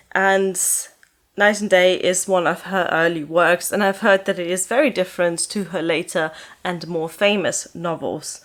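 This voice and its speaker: A young woman whose first language is German, chatting casually to camera about her books from a sofa in her living room, in a relaxed, rambling way with frequent ums. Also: medium pitch. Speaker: book vlogger.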